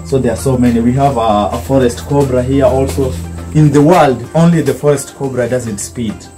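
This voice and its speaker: A man's voice: medium pitch, moderate pace, dark tone, faint music behind. A young man with a Kenyan accent giving an informal, explanatory guided tour, repeating phrases as he goes. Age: young adult. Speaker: snake park guide. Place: open-sided snake park shelter with mesh walls.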